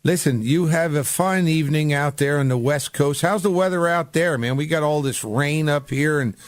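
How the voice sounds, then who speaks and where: A man's voice: on the high side, normal speed, no echo. A man hosting a radio show, chatting casually and informally with a guest as if with an old pal. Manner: chummy, informal address. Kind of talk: casual radio interview chat.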